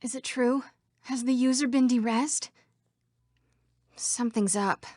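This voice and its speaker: A woman's voice: fairly high pitch, measured pace, warm tone, dry recording, studio quality. A woman voicing a female computer program citizen in a video game. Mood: wary.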